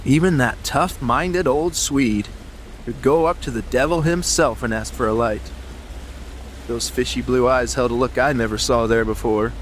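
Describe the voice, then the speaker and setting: An actor playing an uneasy, superstitious yachting companion in an audiobook drama, earnest, hesitant and brooding. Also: male voice, medium pitch, fairly even intonation, moderate pace, slight background noise, close to an everyday voice, low-quality recording. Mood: grave, haunted.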